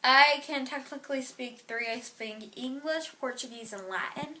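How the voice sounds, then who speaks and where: A woman's voice: high voice, moderate pace, bright, forceful tone, a little hiss or hum. A teenage girl answering interview questions casually, with lots of fillers, trailing off at the ends of her thoughts. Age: teens. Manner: chatty, filler-heavy, trailing off.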